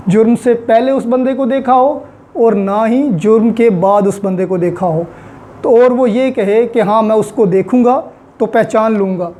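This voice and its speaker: A woman's voice: on the high side, mellow. A woman with a Pakistani accent gives an explanatory lecture, repeating key points in a didactic way and mixing in English terms. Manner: mixes English terms and phrases into speech. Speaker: law lecturer. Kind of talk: explanatory lecture with worked examples.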